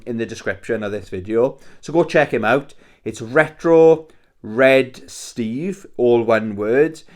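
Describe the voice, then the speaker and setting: Welsh man in his forties, casual vlogger, mid-pitched, rambling chatty delivery with fillers. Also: smooth. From Wales. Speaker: YouTuber. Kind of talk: casual weekly roundup vlog.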